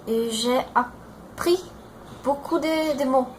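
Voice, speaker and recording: A Brazilian woman in her twenties with a Brazilian Portuguese accent, introducing herself in a learned language and pausing often to search for words. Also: high voice, a little hiss or hum. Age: 20s. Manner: halting, searching for words, many fillers and restarts.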